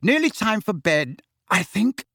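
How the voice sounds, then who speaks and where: Man putting on a high, stern scolding mother. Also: huge pitch swings, slow pace, fairly bright tone, husky, no echo, studio quality, exaggerated voice. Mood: sleepy. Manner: clipped, scolding. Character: stern, sharp, disapproving middle-aged mother.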